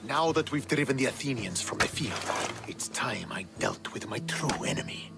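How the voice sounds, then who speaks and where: An actor playing a bitter, proud young Spartan commander in a video game, speaking in a scornful, commanding, clipped way. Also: male voice, medium pitch, lively intonation, moderate pace, vocal fry, whispered, loud music behind the voice. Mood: angry, accusing. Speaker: military commander. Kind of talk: dramatic video game cutscene acting.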